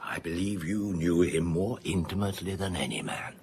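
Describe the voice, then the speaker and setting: A man's voice: low voice, moderate pace, a little mellow, a little hiss or hum. An actor playing a curious, blunt king in a radio drama, stately and clipped. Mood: regal, inquisitive.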